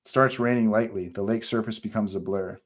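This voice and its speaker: A man with a low voice reads stage directions for a script table read. He delivers them as narration, in short, terse phrases that set each scene. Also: steady tone, fairly quick.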